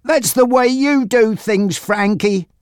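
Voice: medium pitch, slow pace, darkish tone, smooth, no echo, studio quality, pitched above the performer's own voice, natural voice. A narrator voicing a brave, defiant young man under threat in an audiobook story, with a strained, stubborn manner. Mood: firm.

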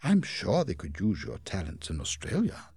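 A man voicing a fierce, indignant older woman in a storybook reading, speaking in a high, shrill, forceful way. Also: huge pitch swings, moderate pace, slightly rough, no room sound, exaggerated voice. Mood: brisk.

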